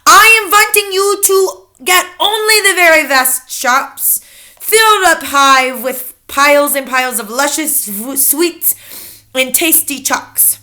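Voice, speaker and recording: A woman's voice: sits high, slow pace, pushed and bright, voice pushed up, exaggerated voice. A woman voicing a tiny, tyrannical female witch leader who shrieks orders in oddly broken grammar. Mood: commanding.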